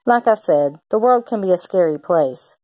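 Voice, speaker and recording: A woman's voice: lowish voice, lively intonation, normal speed, mellow, dry recording. A woman reading a children's picture book aloud like a teacher, expressively acting out the characters' lines.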